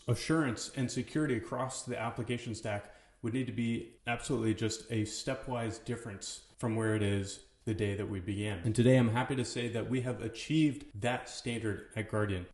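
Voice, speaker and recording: A man's voice: medium pitch, darkish tone. A man hosting a podcast, speaking with casual, slangy enthusiasm in both introductions and conversation. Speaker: podcast host and company co-founder. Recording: roomy, echoey voice recording.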